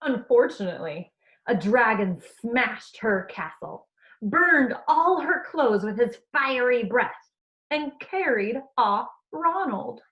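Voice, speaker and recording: A woman teacher reading a storybook aloud expressively, addressing young listeners in an animated way. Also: a little high, slow, dull audio.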